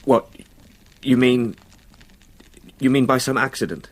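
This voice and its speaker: An actor playing a polite, anxious young solicitor in a radio drama, speaking earnestly with formal courtesy. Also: male voice, medium pitch, fairly even intonation, measured pace, natural voice. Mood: troubled, questioning.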